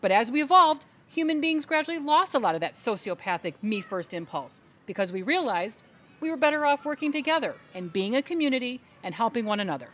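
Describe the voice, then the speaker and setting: A woman's voice: a little high, very animated, fairly quick, fairly bright tone, slight background noise. A woman playing an indignant, crusading adult sister in a comedic audio play, speaking in a dramatic, emphatic, speechifying way. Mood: grave, intense. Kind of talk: comedic audio theatre acting.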